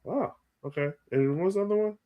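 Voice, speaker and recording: Higher-voiced man chatting on a podcast. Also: fairly quick, phone-quality sound. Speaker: podcast host. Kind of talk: casual sports podcast chat.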